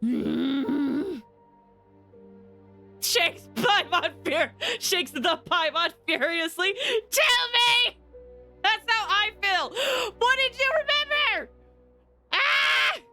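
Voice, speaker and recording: A woman streaming a game with animated, rambling commentary and dramatic outbursts. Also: extremely high pitch, drawn-out words, faint music behind. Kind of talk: excited live gameplay commentary and theorizing.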